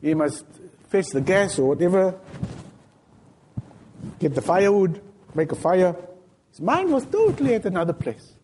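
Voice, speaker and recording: A man preaches in a mosque, his fairly high voice swinging widely up and down. He tells stories in plain everyday speech and slips into lively dialogue. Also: normal speed, long pauses, a little hiss or hum. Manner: storytelling, retelling scenes in everyday speech with lively quoted dialogue. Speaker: sheikh giving a Friday talk. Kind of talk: sermon.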